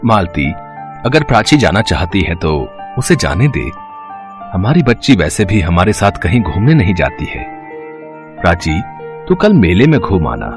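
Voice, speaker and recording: Man playing a lively, earnest village father. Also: sits low, measured pace, full character voice. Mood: affectionate. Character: gentle, loving poor village father. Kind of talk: animated moral story voice acting. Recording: music clearly behind the voice.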